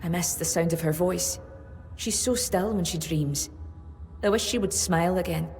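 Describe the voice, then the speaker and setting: Woman acting a solemn, low-voiced tribeswoman in long reverb. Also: darkish tone, natural voice. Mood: wistful. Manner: grave, earnest, measured delivery. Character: solemn, devoted woman of a dreaming tribe, longing and vengeful. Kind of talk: dramatic fantasy video game acting. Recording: long reverb with music behind.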